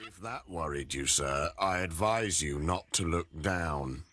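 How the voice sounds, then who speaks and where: A man's voice: deep voice, unhurried, pushed and bright. A man voicing an ominous, formally polite cartoon character. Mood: ominous warning.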